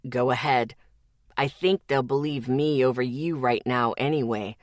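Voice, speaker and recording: A woman voicing a calm, steady, protective young man who speaks low, soft and even. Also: moderate pace, dry recording, clean studio sound. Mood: calm firm.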